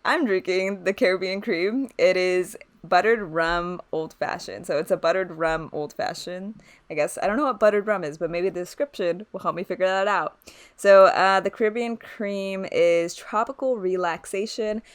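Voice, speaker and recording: A woman's voice: fairly low pitch, moderate pace. A woman co-hosting a podcast in casual chat among friends, talking informally with plenty of "like". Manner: informal, uses "like" often. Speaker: podcast co-host.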